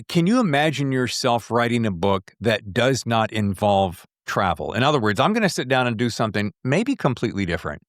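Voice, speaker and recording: A man's voice: mid-range voice, dry recording, studio quality. An American man hosting a podcast interview, chatting casually with asides to his guest and the listeners.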